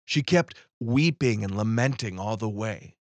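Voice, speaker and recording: A man's voice: on the low side, animated, measured pace, no echo. Dry-sounding male fairy-tale narrator. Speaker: audiobook reader.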